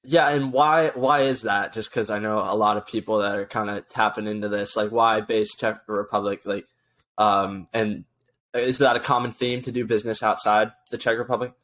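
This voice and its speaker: A man's voice: fairly low pitch, steady tone, normal speed, fairly bright tone. A man hosting a podcast, asking informal, rambling questions in a casual conversational style. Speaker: podcast host. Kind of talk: casual interview chat.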